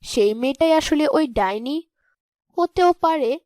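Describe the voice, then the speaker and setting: A woman's voice: sits high, expressive, measured pace, dark tone, no echo, studio quality. A woman voicing a shrewd, kindly village mother in a fairy-tale cartoon. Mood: suspicious.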